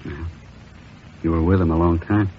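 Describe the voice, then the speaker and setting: An actor playing a weary, middle-aged veteran police captain in an old radio drama, terse and subdued but sympathetic. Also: male voice, sits low, fairly even intonation, normal speed, natural voice. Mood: grieving, subdued. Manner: terse, subdued, clipped sentences. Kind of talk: 1950s radio acting. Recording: tinny, hissy old radio recording.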